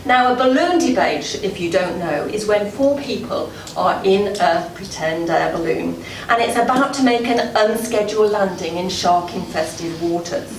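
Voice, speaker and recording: A woman delivering a prepared after-dinner address as club president, telling a story in a deliberate, measured way with dramatic pauses. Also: medium pitch, lively intonation. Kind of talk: prepared public speech, storytelling. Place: indoor gala dinner room before an audience. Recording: echoey room, far from mic, noisy.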